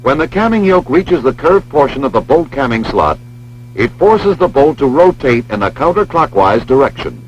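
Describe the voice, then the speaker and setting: American male training film narrator, lively intonation. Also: mid-range voice. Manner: measured, precise, formal. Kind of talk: scripted instructional narration. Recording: dull lo-fi film soundtrack, humming noise.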